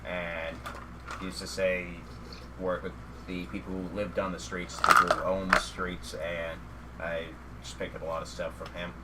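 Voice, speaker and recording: A man's voice: low voice, level tone, normal speed, recorded from a distance, very noisy. A man, co-hosting a podcast as casual chat, telling stories and cracking dry jokes. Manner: dry joking, storytelling with fillers. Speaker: podcast co-host.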